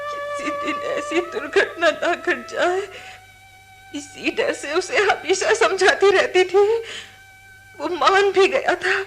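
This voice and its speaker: A fiery, grieving young widow from a poor village in a radio drama. Her voice is very high and swings with emotion, delivered slowly and emphatically, with music behind it. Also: fairly bright tone, full character voice. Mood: tearful, anguished. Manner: impassioned, emphatic, tearful delivery. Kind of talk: Hindi radio drama acting.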